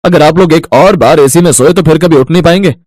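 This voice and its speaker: A man's voice: a little high, brisk, darkish tone, slight background noise, natural voice. A male actor voicing grown men in a family cartoon, with an exclaiming, exasperated manner. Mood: scolding. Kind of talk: cartoon dialogue acting.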